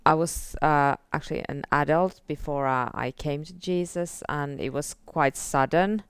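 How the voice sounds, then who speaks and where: A woman in her forties from northern Finland, a Finnish speaker, telling her life story as an interview guest, softly and with many fillers. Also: sits low, measured pace, smooth, no room sound, studio quality. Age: 40s. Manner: soft-spoken, many fillers like "like" and "uh". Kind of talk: casual testimony interview.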